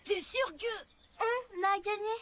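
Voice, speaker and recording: A woman's voice: very high voice, takes it slowly, a bit bright, full character voice. A woman voicing a sensible, apologetic young kid motorbike in a cartoon dub. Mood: wry.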